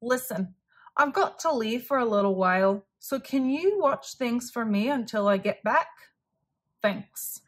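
A woman voicing a friendly, brisk male bus driver in a children's picture-book read-aloud, with a casual, chatty manner. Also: fairly high pitch, lively intonation.

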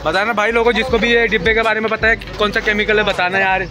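A man's voice: high-pitched, little pitch movement, fast talker, music in the background. A young man outdoors at a work site, joking and bantering casually toward a phone camera. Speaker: friend of the vlogger.

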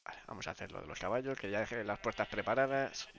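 A man's voice: fast talker, creaky, fairly bright tone, a little hiss or hum. Congested man streaming a game. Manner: rambling, many restarts, low mumbled asides. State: congested, sore throat. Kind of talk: casual live-stream chat.

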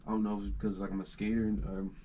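A man's voice: fairly low pitch, level tone, very fast, warm tone, dull audio, a little hiss or hum. A young man, a recording artist, chatting casually in a small home studio, talking through his nose and rambling with slangy restarts. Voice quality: nasal.

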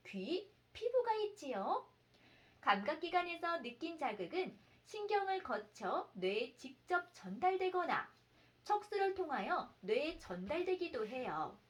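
A woman reading a children's science storybook aloud as a mother, expressively, explaining like a teacher and putting questions to the child. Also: sits high.

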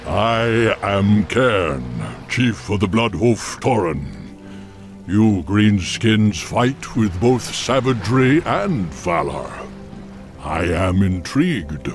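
Big old minotaur chief for a video game, proud n solemn, slow talker, faint music behind. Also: male voice, on the low side, lively intonation. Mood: measured, intrigued. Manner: slow, solemn, formal speech. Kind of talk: fantasy video game acting.

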